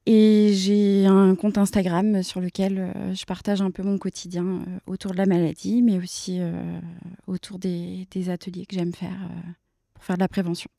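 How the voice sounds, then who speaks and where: Female guest from Brittany, soft dark timbre, narrow pitch movement, casual personal delivery. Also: flat delivery, normal speed, vocal fry, no echo, clean studio sound. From Brittany, France. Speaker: podcast guest, cancer awareness content creator.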